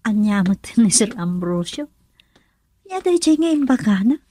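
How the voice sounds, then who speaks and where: An actress playing a polite, modest office employee in an Ilocano radio drama. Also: female voice, on the high side, very animated, measured pace, warm tone, dry recording. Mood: polite, grateful.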